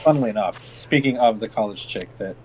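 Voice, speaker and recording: A man's voice: fairly low pitch, quick pace, music in the background, rough recording. A man chatting casually as co-host, laid-back and joking, with many "uh"s and "yeah"s. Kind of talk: casual chat.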